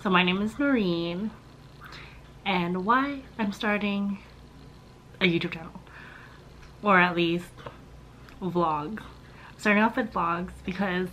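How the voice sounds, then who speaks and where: A young woman in her twenties, a little hoarse from a sore throat, talking softly and haltingly to a camera in a small room at home. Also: fairly low pitch, slight background noise, low-quality recording. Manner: soft-spoken, halting, with restarts. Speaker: vlogger. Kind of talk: casual talk to camera. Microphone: camera on a stand facing her.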